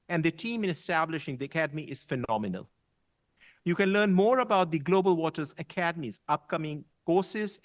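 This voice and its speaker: A man, a university official, giving a formal prepared speech at an event, reading smoothly. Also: a little high. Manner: reads smoothly, stumbles when ad-libbing.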